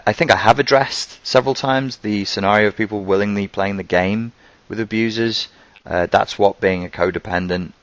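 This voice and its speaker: A man's voice: sits low, a bit bright, no room sound, highs cut off. A man from Merseyside with a toned-down Scouse accent, hosting a live online Q&A and chatting loosely as he answers viewer questions. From Merseyside, England. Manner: conversational and loose, thinking aloud.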